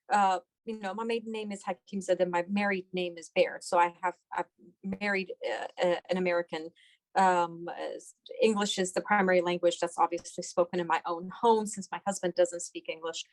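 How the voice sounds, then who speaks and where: A woman's voice: fairly low pitch, fairly even intonation, moderate pace, dry recording, low-quality recording. Middle-aged woman, doctor interviewee, conversational, explanatory, repeats phrases for emphasis, dry sound.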